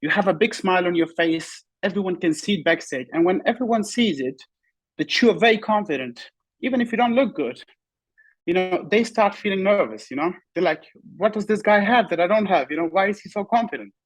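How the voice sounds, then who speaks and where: A young man whose first language is Pashto, a bodybuilder telling his story in a relaxed interview and often saying "you know.". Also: sits high, fairly quick, no room sound, poor audio. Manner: relaxed, repeats "you know" often, simple non-native phrasing. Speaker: bodybuilding competitor, guest. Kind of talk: casual interview talk.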